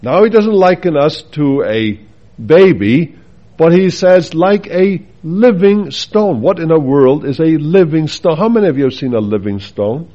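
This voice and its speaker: A man's voice: fairly high pitch, animated, unhurried. Man, Faroese accent, preacher, earnest sermon, rhetorical questions, dull lo-fi recording. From Faroe Islands.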